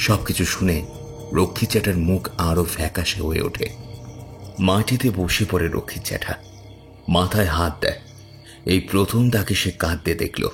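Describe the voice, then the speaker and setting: A man's voice: sits low, moderate pace, pauses often. A man narrating a scripted horror story in a dramatic storytelling style. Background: loud horror background music.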